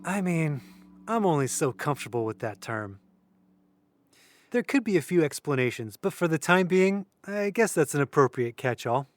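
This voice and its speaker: A man playing a measured, skeptical young friend in an audio drama, careful and articulate. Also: fairly high pitch, big pitch swings. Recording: music under the voice.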